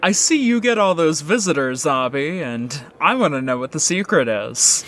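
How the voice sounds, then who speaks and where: A man's voice: fairly high pitch, animated, moderate pace, a bit bright. An actor playing a teasing ogre prisoner who jokes with his cellmates.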